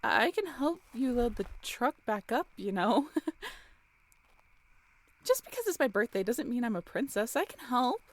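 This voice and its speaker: A woman acting a cheerful, affectionate young woman in an intimate roleplay audio drama, with a playful, teasing, expressive delivery. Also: on the high side, unhurried, long pauses.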